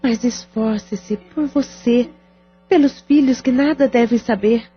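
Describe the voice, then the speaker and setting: A woman playing a gently coaxing friend. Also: on the high side, unhurried, natural voice. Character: caring, persuasive female friend. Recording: dull lo-fi audio with faint music.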